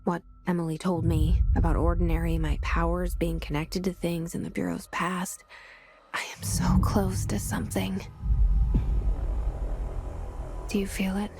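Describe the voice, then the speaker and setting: A woman's voice: fairly low pitch, flat delivery, moderate pace, over music, close to an everyday voice. A woman playing a guarded, searching young heroine in a video game, restrained and confiding. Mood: inward, tense. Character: guarded, searching young woman hunting her lost brother. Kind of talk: video game cutscene acting.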